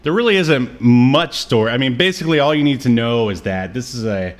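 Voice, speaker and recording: A man speaking as a podcast co-host in casual conversation, with a halting, meandering delivery. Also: mid-range voice, animated. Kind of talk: casual chat recapping a show.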